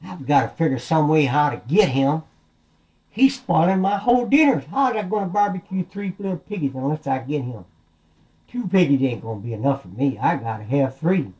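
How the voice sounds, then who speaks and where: A man's voice: a little high, lively intonation, moderate pace, mellow, lo-fi sound, natural voice. A man voicing a big bad storybook wolf, scheming and easily frustrated, in a folksy, wheedling, grumbling way. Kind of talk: storybook character voice.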